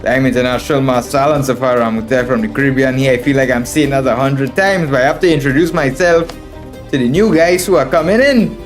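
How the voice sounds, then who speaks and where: A man from Trinidad with a Trinidadian accent streams live game commentary at a middling pitch. He thinks his moves through out loud, often repeating a phrase, and talks to viewers as friends. Faint music plays behind him. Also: a little fast. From Trinidad and Tobago. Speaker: chess streamer and instructor. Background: background music.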